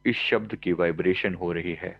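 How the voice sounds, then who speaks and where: A man leading a guided meditation as a teacher, giving calm, measured instructions in short phrases with pauses between them. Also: low-pitched, lo-fi sound. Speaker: meditation teacher and life coach.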